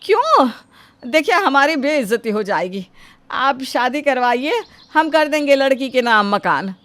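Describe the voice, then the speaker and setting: A fairly high voice plays a suspicious parent of the groom , acted by a woman. The delivery is indignant and scolding, full of exclamations for a family drama story. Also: big pitch swings, a little slow, fairly bright tone, dry recording, exaggerated voice. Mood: flustered.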